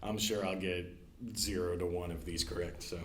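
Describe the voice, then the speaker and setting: Hesitant low-voiced man fumbling trivia. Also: flat delivery, normal speed. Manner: hesitant, searching for words, self-deprecating laughs. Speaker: podcast guest, library staff. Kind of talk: casual quiz chat. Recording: roomy echo with faint background hiss.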